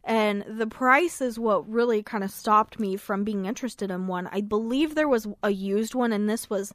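A woman's voice: mid-range voice, normal speed, dry recording, clean studio sound. A woman with a scratchy voice, co-hosting a radio talk show in a casual, chatty style.

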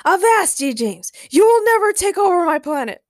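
A woman voicing a pompous, excitable male king in a children's story, speaking in emphatic exclamations. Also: extremely high pitch, moderate pace, no room sound, clean studio sound, pitched above the performer's own voice. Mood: heroically defiant.

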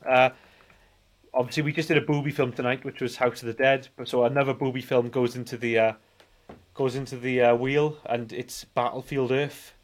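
A man in his forties rambling through casual film banter as a podcast co-host. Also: medium pitch. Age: 40s.

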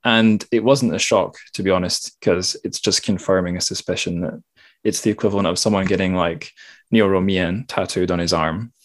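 A man with a fairly low voice hosts a casual interview. He thinks aloud and rambles a little, rewording his points as he goes. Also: steady tone, moderate pace. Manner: rambling, self-correcting, thoughtful. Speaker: podcast host interviewing a guest.

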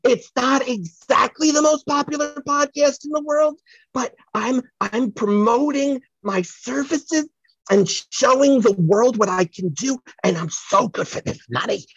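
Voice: high voice, measured pace, a bit bright, slightly rough, no room sound, muffled recording, heavily acted. An actor playing a scatterbrained, self-promoting psychic in a comedy audio sketch, rambling and stalling theatrically. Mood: pleading. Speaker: psychic guest on a podcast. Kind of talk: comic improvised audio sketch.

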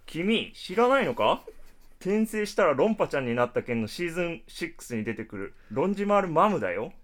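A man's voice: a little high, very animated, a little fast, fairly bright tone. A man playing an eccentric, bossy data scientist with a lecturing, analytical manner in a radio drama. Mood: fervent. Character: eccentric, bossy male data scientist and obsessive anime fan. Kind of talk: Japanese radio drama acting.

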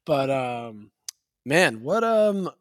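Slightly raspy guy chatting loosely. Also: mid-range voice, sing-song, extremely slow, no room sound, studio quality. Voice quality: a little raspy. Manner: loose, laughing storyteller with many restarts. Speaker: podcast co-host. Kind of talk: casual chat.

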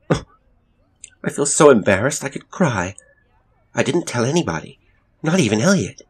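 A man voicing a distraught, defensive young mother in an audiobook story, agitated and emphatic and quick to anger. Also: mid-range voice, very animated, breathy edge, pitched above the performer's own voice. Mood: upset, pleading.

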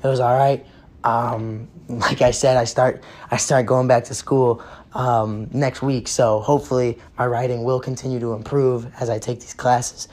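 Hoarse, profane young man hosting sports. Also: medium pitch, level tone, normal speed, darkish tone. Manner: profane, blunt, fired up. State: hoarse, losing his voice. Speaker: sports podcast host. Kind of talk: casual sports rant.

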